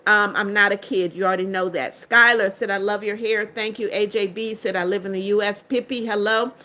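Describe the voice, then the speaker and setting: A woman's voice: medium pitch, steady tone, a little fast, bright, forceful tone. An older woman hosting a livestream, reading out chat comments and greeting viewers in a firm, strict way. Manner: strict, firm about blocking spammers. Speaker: livestream host.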